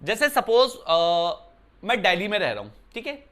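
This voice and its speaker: A man from Uttarakhand teaching a maths lesson indoors in a chatty style, often checking with his students whether it is clear. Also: high-pitched, huge pitch swings, normal speed, fairly bright tone. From Uttarakhand, India. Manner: conversational, keeps asking "clear, brother?". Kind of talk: classroom lesson explanation. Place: indoor room.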